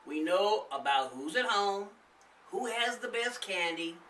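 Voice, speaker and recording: A man's voice: high-pitched, expressive, measured pace, sharp tone, far from the mic, voice pushed up, full character voice, poor audio. A man voicing a mocking, know-it-all older brother, a kid in a storybook. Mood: impatient, reassuring.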